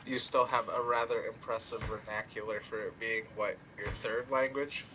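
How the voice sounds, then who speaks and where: Animated man in noisy voice chat. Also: on the low side, normal speed. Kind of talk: casual voice chat.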